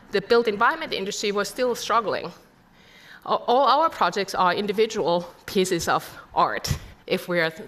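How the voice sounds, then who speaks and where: A woman's voice: mid-range voice, a bit bright. Finnish woman giving a conference talk. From Finland. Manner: earnest, poses rhetorical questions. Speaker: conference speaker. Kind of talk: conference presentation.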